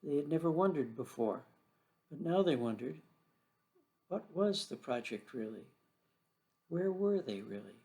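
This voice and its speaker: A man narrates a children's story from a script. His voice sits a little higher than most men's, and he reads as a storyteller. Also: darkish tone.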